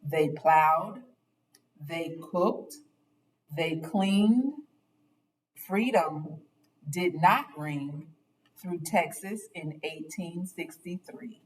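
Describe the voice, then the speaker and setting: Slow-reading woman storyteller, slightly distant. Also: fairly low pitch, slight background noise. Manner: dramatic, deliberate phrasing. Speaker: storyteller reading a picture book aloud. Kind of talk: expressive children's read-aloud narration.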